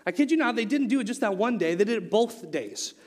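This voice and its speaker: A man's voice: high voice, lively intonation, fast talker, big-room sound. A young man with an Oklahoma accent giving a youth sermon, moving from a casual story into emphatic preaching. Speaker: youth speaker.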